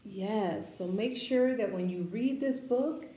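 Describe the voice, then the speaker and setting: A woman reads a picture book to children in an encouraging, expressive way. Her voice is mellow and her delivery slow and deliberate, leaning on key words. The room sounds echoey around her. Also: mid-range voice. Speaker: children's story-time reader. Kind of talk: picture-book read-aloud with asides to children. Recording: echoey room with faint background noise.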